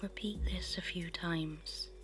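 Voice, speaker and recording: A woman leads a guided meditation in a soft, breathy half-whisper. Her voice is on the low side and very unhurried, with a soothing delivery. Music plays clearly behind her. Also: fairly even intonation. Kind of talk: guided meditation, scripted narration.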